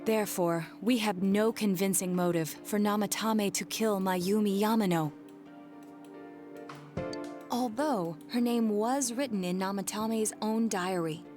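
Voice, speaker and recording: A woman's voice: mid-range voice, unhurried, over music, close to an everyday voice. A woman playing a composed young detective in a video game, earnest, formal and precise in explaining. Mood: analytical, serious. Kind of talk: English video game voice acting.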